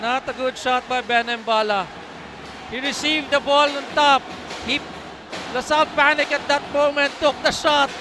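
A man's voice: very high voice, flat delivery, normal speed, over music. A man, a coach, giving color commentary beside the play-by-play caller, analysing plays and echoing his partner. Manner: analytical, echoes and agrees with partner. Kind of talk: live basketball commentary. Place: courtside booth in a packed arena. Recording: noisy arena broadcast.

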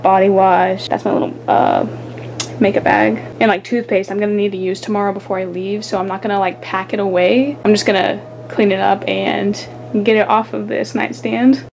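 A woman's voice: mid-range voice, flat delivery, moderate pace, creaky, a little mellow, a little hiss or hum, poor audio. A young woman chatting to her viewers in a casual vlog, giggly and talkative, recorded on a handheld camera in a small bedroom. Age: young adult. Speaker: vlogger.

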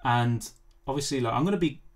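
A man with a hoarse, lost voice, casually chatting on a live stream and answering viewer questions over a faulty microphone. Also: medium pitch, a little fast. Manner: apologetic, rambling, answers chat questions. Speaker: fantasy football streamer. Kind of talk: casual live stream chat, reading comments.

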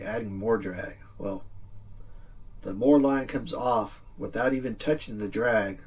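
Low, husky man explaining, distant mic. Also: a little mellow. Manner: plain-spoken, informal explaining. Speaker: fishing video presenter. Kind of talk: casual instructional demonstration. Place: small indoor room with green screen backdrop.

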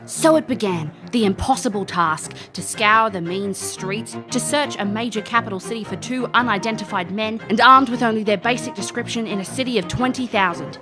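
A woman's voice: medium pitch, fairly quick, few pauses, over music, natural voice. A woman playing a cocky, wry private investigator in an audio drama, casual and confident. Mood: storytelling. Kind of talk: audio drama acting and narration.

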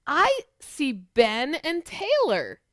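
A middle-aged woman talks to small children as a storytime host. Her voice is high and swoops widely, with an unhurried pace. She mixes chat, questions and picture-book reading in an animated way. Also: no room sound. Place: small living room at home, on a couch.

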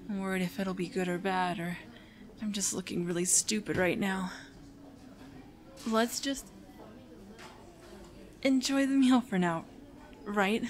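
A nervous, lovestruck teenage boy from an anime ASMR roleplay, voiced by a woman. He talks slowly and shyly, hesitating and stammering over his words. The delivery is mumbled and unsure, at a middling pitch for a female voice. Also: natural voice. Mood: anxious, awkward.

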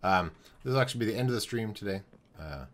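Young male streamer, very low voice turning hoarse, casual think-aloud commentary at a desk mic, light keyboard noise. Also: lively intonation, quick pace, fairly bright tone. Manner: thinking aloud while building, greeting chat viewers. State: losing his voice. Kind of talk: live gameplay commentary.

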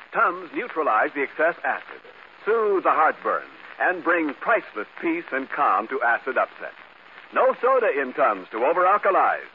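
Lively, swooping 1940s radio announcer man. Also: fairly high pitch. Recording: muffled old radio, faint noise.

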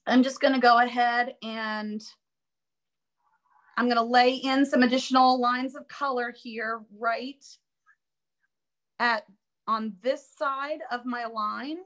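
A woman teaching a live online drawing class, chatty and upbeat, thinking aloud as she works, her voice a little raspy from allergies. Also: a little high, fairly even intonation, takes it slowly, long pauses, fairly bright tone. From Florida. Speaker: art instructor.

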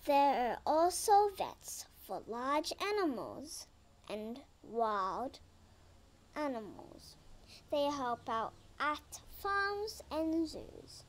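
A young girl reads a children's picture book aloud at a very slow, careful pace. Her voice is high and lively in pitch, and she sometimes trips over a word and starts it again. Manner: slow careful reading with some stumbles. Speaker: reader.

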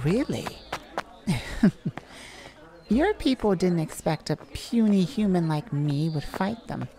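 A woman playing a weary, defiant mage in a dramatic audio roleplay, speaking in a halting, wry way. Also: fairly low pitch, creaky, heavily acted. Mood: surprised, guarded, then firm, curt. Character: weary, defiant human mage longing for captured mate.

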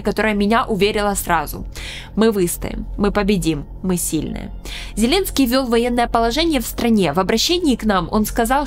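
A young woman from Ukraine, speaking straight to camera with a studio mic in a small room, narrating her own story in an earnest, measured, solemn way. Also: medium pitch. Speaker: channel host. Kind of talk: scripted personal narration. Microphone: studio microphone in frame.

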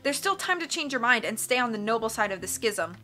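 A woman's voice: a little high, big pitch swings, a little fast, bright, forceful tone, soft background music. A woman voicing a principled, firm teenage big sister in a storybook, speaking with earnest urgency. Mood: pleading.

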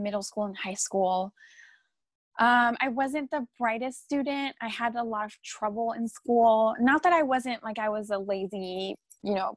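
A woman's voice: a little high, no room sound. Chatty young woman on a video call. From France. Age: young adult. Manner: chatty, full of ums, laughs often. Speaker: guest. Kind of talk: casual video-call interview. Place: home room with art on the wall. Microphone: laptop or phone camera on a video call.

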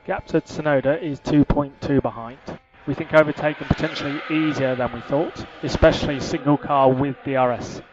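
A man working as a race engineer, giving calm, clipped, repeated instructions over a team radio. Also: medium pitch, moderate pace, few pauses. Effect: team radio filter. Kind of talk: terse, instructional radio messages. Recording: muffled, noisy motor-racing team radio.

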